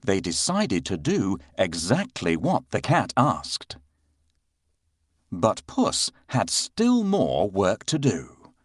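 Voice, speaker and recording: A man narrating a scripted children's bedtime fairy tale with expressive, dramatic delivery. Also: lowish voice, slow, husky, no room sound, clean studio sound. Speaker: storyteller narrator.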